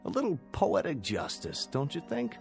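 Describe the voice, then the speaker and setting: Stern, coldly sardonic scientist over music. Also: male voice, a little high, huge pitch swings, creaky, darkish tone, exaggerated voice. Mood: scornful, stern. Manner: measured, formal, sardonic, deliberate. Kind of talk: radio drama acting. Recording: music bed under the voice.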